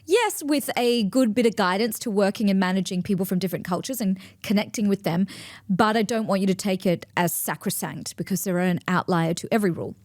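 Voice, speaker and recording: Woman in her forties, lively podcast host. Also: mid-range voice, a little fast, dry recording, clean studio sound. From grew up between East and West, in Australia. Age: 40s. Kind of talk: solo talk to listeners.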